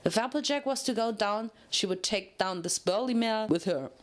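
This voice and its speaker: A woman reads a story aloud as its narrator. Her medium-pitched voice carries a dramatic, scripted delivery. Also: moderate pace.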